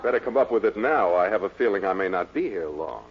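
An actor playing a businesslike, skeptical magazine representative in a 1940s radio sitcom, dryly questioning and incredulous. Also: male voice, sits low, lively intonation, quick pace, a loud crowd. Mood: skeptical, puzzled. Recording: muffled old radio recording, faint noise.

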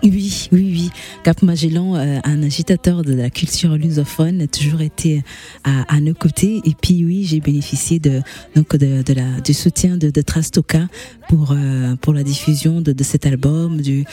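A woman's voice: sits low, steady tone, normal speed, warm tone, soft background music. A woman with a Portuguese accent, a native Portuguese speaker, answering as a guest in a radio interview in a reflective way with small restarts. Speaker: guest singer.

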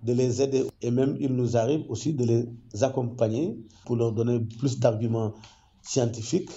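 A man who works as an ecology professor, explaining his research in a measured, careful way with frequent hesitations. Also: mid-range voice, dark tone, lo-fi sound, a little hiss or hum. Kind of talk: interview testimony.

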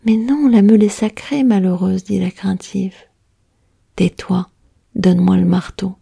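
Woman whispering jealous scheming girls, folk tale, conspiratorial hiss, gravelly fry, dark tone. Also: medium pitch, steady tone, measured pace, no room sound, clean studio sound, full character voice. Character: band of jealous, scheming young women.

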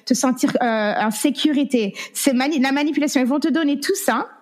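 Woman, 30s, interview guest; candid, halting testimony, high-leaning voice, English words slip in. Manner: hesitant, many fillers, slips in English words. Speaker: guest sharing her story.

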